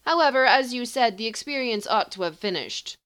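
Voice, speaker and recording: A woman's voice: a little high, lively intonation, fairly quick, fairly bright tone, pitched above the performer's own voice. A woman voicing a haughty, condescending male sorcerer with a clipped, superior manner. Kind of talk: storybook reading.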